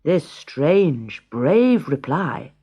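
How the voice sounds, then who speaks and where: A storyteller reading a scripted children's tale in a dramatic, measured way. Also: medium pitch, big pitch swings, dark tone, slightly rough.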